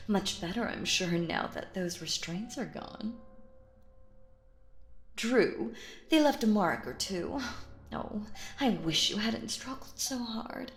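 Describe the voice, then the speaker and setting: A woman playing a possessive, sinister stage magician in a roleplay audio drama, coaxing theatrically and slipping into breathy whispers. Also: mid-range voice, expressive, takes it slowly, pauses often, echoey room, slight background noise. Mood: doting, pleased. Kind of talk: roleplay audio drama, intimate hypnotic monologue.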